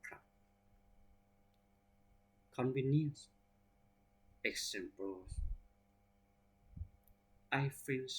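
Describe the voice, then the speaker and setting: Male English teacher, Thai accent, careful word-by-word delivery that spells out letters, very slow pace. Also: lowish voice, slightly rough, rough recording. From Thailand. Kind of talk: reading vocabulary examples aloud.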